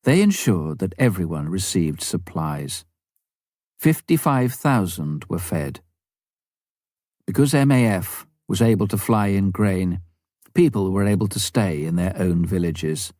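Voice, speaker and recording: A man with a low voice narrates a memoir in a measured, formal way. The recording is close and dry, with very little room sound, as suits an audiobook reader. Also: animated, dark tone, no echo. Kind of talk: scripted narration, reading a memoir aloud.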